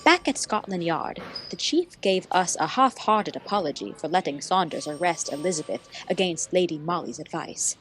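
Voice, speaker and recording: Devoted sidekick narrating old detective radio play, kinda low voice, earnest n bookish. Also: female voice, expressive, normal speed, few pauses, a bit bright, a little hiss or hum. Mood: wry. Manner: earnest, literary storytelling. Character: devoted young female detective's assistant, admiring narrator.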